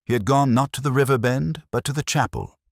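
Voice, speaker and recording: A man reading a scripted first-person detective story in a measured, solemn, literary manner. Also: mid-range voice, gravelly, no room sound, studio quality. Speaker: narrator.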